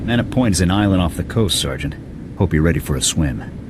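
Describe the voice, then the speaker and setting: A man playing a gruff, blunt, skeptical military lieutenant in a video game, with a clipped no-nonsense manner. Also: sits low, lively intonation, a little fast, heavy background noise. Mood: dry, blunt. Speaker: military officer. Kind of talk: scripted video game acting.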